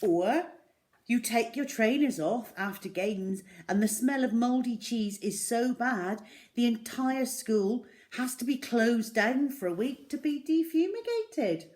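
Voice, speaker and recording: Woman, children's story reader, unhurried, animated intonation, dramatic, expressive, deliberate pauses. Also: fairly high pitch. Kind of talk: expressive storybook reading aloud.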